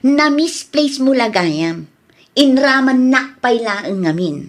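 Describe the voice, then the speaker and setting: Wronged young woman with lively intonation, acted. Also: sits high, slow pace. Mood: firm. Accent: Ilocano Filipino. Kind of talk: Ilocano radio comedy drama acting.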